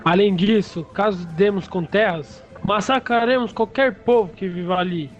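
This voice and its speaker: Man acting a high-pitched, pompous warlike king. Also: moderate pace, highs cut off, natural voice. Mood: boastful, scornful. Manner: pompous, declamatory. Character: boastful, war-hungry king dreaming of conquest. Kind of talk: audio drama acting. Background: faint music.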